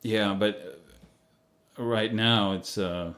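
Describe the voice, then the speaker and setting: Swedish-accented man, low voice, director chatting on a commentary, hesitant delivery. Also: fairly even intonation, slow, a bit bright. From Sweden. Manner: hesitant, many fillers and restarts. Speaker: film director doing a commentary. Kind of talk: casual commentary chat.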